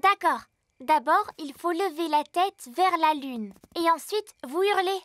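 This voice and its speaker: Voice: extremely high pitch, unhurried, very noisy. A performer voicing a confident, playful little wolf girl in a children's cartoon, explaining things in a childlike way. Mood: confident, instructive.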